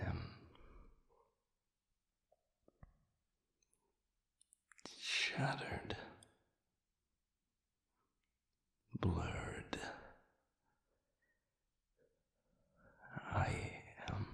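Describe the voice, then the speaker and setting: Man acting a very slow, deep whispering demon. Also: sharp tone, roomy sound, exaggerated voice. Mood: scared, pleading. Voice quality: gravelly, breathy. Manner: halting, breathy, half-whispered, formal wording. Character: sadistic male demon who lost his memory, anguished and pleading. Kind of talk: intimate audio roleplay acting.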